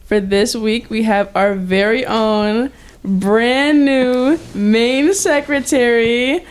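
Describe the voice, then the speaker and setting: A woman's voice: on the high side, fairly even intonation, slow, smooth. A teenage girl hosting a school podcast, telling a rambling story full of fillers. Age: teens. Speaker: student podcast host. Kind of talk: casual chat.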